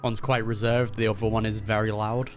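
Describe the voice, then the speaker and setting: A man's voice: lowish voice, flat delivery, a little fast. A man hosting a gaming reaction, commenting casually in a laid-back, slangy way. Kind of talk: casual game playthrough commentary. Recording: game music behind the voice.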